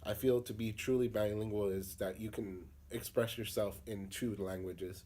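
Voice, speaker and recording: A young man from the United States answering interview questions in a halting, casual way, with many fillers and restarts. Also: on the low side, fairly even intonation, warm tone.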